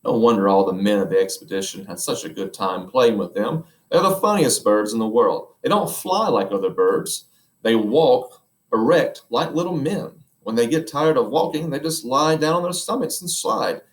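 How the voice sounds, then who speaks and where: A dreamy, enthusiastic husband, as a man reading a storybook voices him, speaking with eager wonder. His pitch rises and falls with excitement in an echoey room. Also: moderate pace, lightly acted. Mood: enthusing. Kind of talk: reading aloud a children's book.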